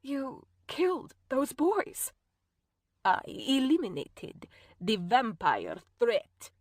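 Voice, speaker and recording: A woman reader voicing a cold, stern, self-righteous woman who speaks slowly and calmly, sometimes in a whisper. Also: a little high, no echo, studio quality, heavily acted. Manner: slow, calm, measured, at times whispered. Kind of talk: audiobook character voice.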